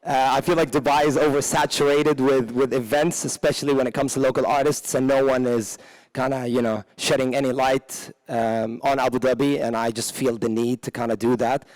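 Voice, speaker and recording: A man's voice: mid-range voice, fairly even intonation, a little fast, a little mellow, low-quality recording. A man from the UAE, a DJ and former radio presenter, talking casually on a panel with many fillers. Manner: casual, lots of "you know" and "um". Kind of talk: panel self-introduction.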